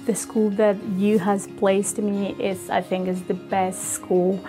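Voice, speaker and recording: A woman with a Venezuelan accent, a native Spanish speaker, giving a personal testimonial in earnest, halting English. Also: mid-range voice, flat delivery, music in the background. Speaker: kindergarten Spanish teacher.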